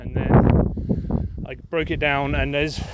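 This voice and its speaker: A man from England talks casually as he walks through windy countryside, a little out of breath and full of ums and uhs. His voice sits at a middle pitch. Loud wind roars across the camera mic. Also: takes it slowly, highs cut off. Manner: rambling, relaxed, many fillers. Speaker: vlogger. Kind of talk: casual walking vlog.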